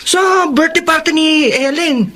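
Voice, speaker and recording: Chirpy young filipino dude in a radio drama, really high sharp voice, chatty. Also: male voice, a little slow, slight background noise, full character voice. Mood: cheerful, curious. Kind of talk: Tagalog radio drama acting.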